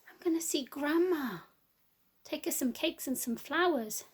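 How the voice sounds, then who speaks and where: A woman doing a curious little girl, high and wondering. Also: lively intonation, a little slow. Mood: cheerful.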